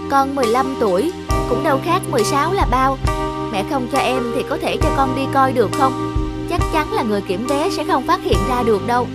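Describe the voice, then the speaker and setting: An eager, childish cartoon girl who is easily upset. The voice is high, whiny and expressive, set over very prominent music. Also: brisk. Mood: whiny protest. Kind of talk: animated cartoon dubbing. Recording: loud music behind the voice.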